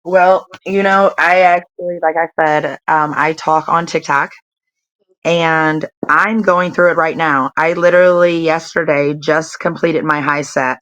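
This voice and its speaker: A woman's voice: deep voice, steady tone, unhurried, a bit bright, dry recording, highs cut off. A woman around forty, a nonprofit founder and interview guest, talking in a rambling way full of fillers. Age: 40s. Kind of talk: casual interview chat.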